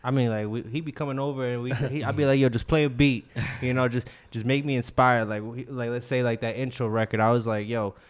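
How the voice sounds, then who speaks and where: Man, twenties, from Queens, rapper guest, lively storytelling, clear voice. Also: medium pitch, fast talker, hardly any pauses. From Queens, New York. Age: 20s. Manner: animated, acts out quotes, restarts often. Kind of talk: casual interview chat. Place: studio.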